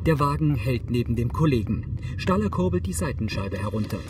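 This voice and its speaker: Measured male audio description narrator. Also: mid-range voice, sing-song, background noise. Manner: measured, matter-of-fact. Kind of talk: scripted narration of a TV series. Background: music.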